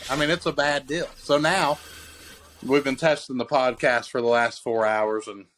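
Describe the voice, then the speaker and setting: Casual young man, profane rambling storyteller, mid-pitched, faint background noise. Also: steady tone, moderate pace, a bit bright. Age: 20s. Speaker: podcast co-host. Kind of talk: casual chat. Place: cold basement den in a house. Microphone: handheld mic.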